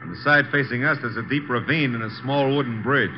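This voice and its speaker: An American actor playing a breezy, wisecracking detective's assistant in a 1950s radio drama. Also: male voice, mid-range voice, fast, lightly acted. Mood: doubtful. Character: wisecracking, easygoing male detective's legman. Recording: noisy, muffled vintage radio recording.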